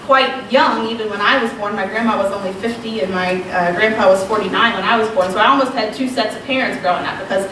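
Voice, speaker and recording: A woman's voice: medium pitch, fast talker, hardly any pauses. A woman in her thirties from Southwest Virginia with a slight Southern accent, giving a casual, self-deprecating talk at a women's retreat. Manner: conversational, self-deprecating, with frequent ums. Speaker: retreat speaker. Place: large echoey room. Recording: very echoey, distant, noisy room recording.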